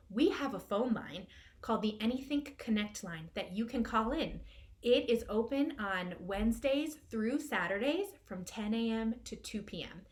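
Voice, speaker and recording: High-pitched woman leading children's storytime. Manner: encouraging, simple instructions addressed to young children. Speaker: children's librarian hosting storytime. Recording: clearly noisy room recording.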